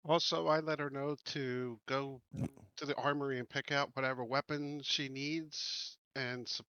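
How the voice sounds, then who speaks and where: A man with a creaky, forceful voice of medium pitch chats as a game player. The sound is dry and somewhat lo-fi. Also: steady tone, normal speed, dry recording. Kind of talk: casual chat. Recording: dull, lo-fi voice-chat audio.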